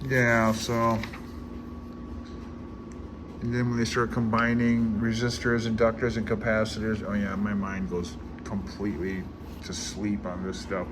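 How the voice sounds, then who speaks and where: A man talking casually and unscripted as he thinks aloud, rambling and halting, with a self-deprecating manner. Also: on the low side, monotone, background noise. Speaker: amateur radio hobbyist making study progress videos.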